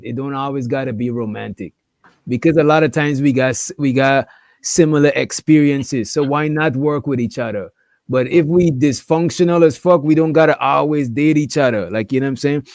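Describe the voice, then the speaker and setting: A man's voice: mid-range voice, normal speed, gravelly, dry recording. A man speaking on a casual panel, slangy and laid-back, often adding "you know what I'm saying.".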